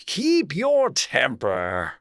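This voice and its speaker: A man voicing a stern, contemptuous caterpillar in a dramatized audiobook, with curt, haughty, drawn-out phrasing. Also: fairly high pitch, wildly swooping pitch, creaky, fairly bright tone, no echo, clean studio sound, full character voice. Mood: imperious. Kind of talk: dramatized audiobook character acting.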